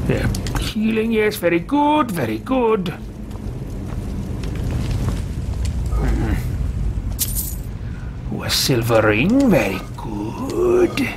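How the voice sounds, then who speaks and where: A man's voice: on the high side, background noise, heavily acted. A man in his thirties voicing a haughty, greedy male mage adventurer with pompous, theatrical disdain in a video game roleplay. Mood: smugly pleased. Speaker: let's-play host roleplaying a game character. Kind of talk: theatrical in-character game commentary.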